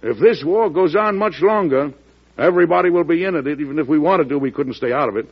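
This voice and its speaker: An actor playing a forceful, shrewd American president in an old radio drama, frank and emphatic, with an American accent. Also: male voice, on the high side, a little fast, husky. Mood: wry. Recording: muffled vintage radio broadcast recording.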